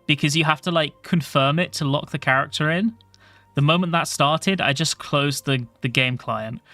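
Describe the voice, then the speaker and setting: Soft-voiced man streaming casually. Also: fairly high pitch, no echo. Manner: rambling, chatty, swears casually. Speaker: game streamer. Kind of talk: casual livestream chat.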